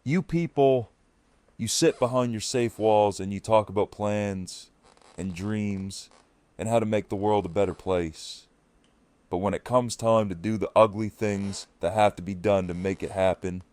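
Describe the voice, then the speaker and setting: An actor playing an agitated, embittered leader of apocalypse survivors in an audio drama, confrontational and forceful. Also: male voice, lowish voice, no room sound, lightly acted.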